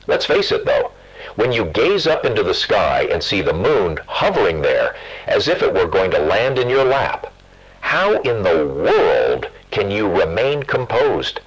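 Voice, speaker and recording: A man's voice: lowish voice, a bit bright, slightly rough, exaggerated voice, rough recording. A man playing a brooding, consoling late-night radio host, speaking with intimate, dramatic phrasing.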